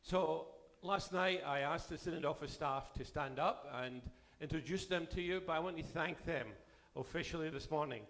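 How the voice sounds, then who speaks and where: A man serving as bishop, delivering a formal address to a church assembly while losing his voice, with a measured pace and sentences that trail off. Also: fairly high pitch, fairly bright tone, slight background noise. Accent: Canadian.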